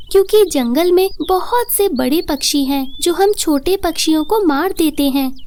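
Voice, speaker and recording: A woman's voice: very high voice, a little mellow. A woman voicing a small devout female bird in a cartoon story, humble, earnest and pleading.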